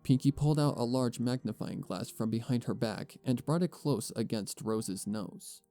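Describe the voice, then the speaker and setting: A man's voice: mid-range voice, normal speed, vocal fry, dark tone. A man giving scripted storybook narration as the narrator of a fan fiction audio reading. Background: music.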